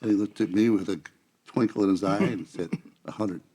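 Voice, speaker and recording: American guy w a lowish voice, telling stories casually on a podcast, pretty earnest. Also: a little fast, mellow, breathy edge. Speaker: podcast guest. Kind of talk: casual anecdotal conversation.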